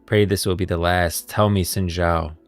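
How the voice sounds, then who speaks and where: A man's voice: sits low, fairly even intonation, normal speed, no echo. A man voicing a grave, questioning friend of a fantasy game warrior, reading the dialogue aloud. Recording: music playing behind.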